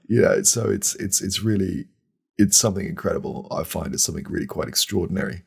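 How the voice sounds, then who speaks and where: A man playing a boastful but insecure podcast co-host in a comedy parody, stammering and repeating himself in a raspy, creaky voice. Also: low voice, full character voice. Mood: awkwardly boastful. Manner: stammering, halting, repetitive.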